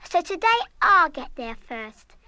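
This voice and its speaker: A woman's voice: high-pitched, expressive, measured pace, pushed and bright, heavily acted. An actress playing a headstrong, mischievous English schoolgirl in a radio play, plucky and well-spoken. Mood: scheming. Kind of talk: full-cast children's radio play acting.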